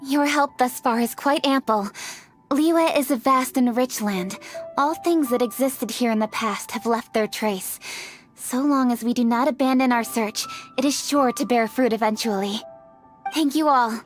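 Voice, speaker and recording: A woman's voice: a little high, close to an everyday voice. A woman voicing a composed, decisive young official who speaks formally in a game cutscene. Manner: formal, measured. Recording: game cutscene with faint music.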